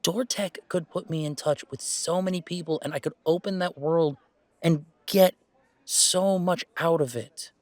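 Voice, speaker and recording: A man playing an earnest, playful young werewolf gamer in an intimate, conversational roleplay audio, speaking in a halting, emphatic way. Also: fairly high pitch, no room sound, lightly acted. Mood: earnest, hopeful. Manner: halting, emphatic, expressive, with sighs and chuckles.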